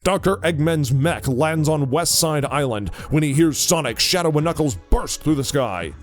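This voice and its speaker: A man's voice: a little high, animated, normal speed. A man narrating a scripted audio drama story. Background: music.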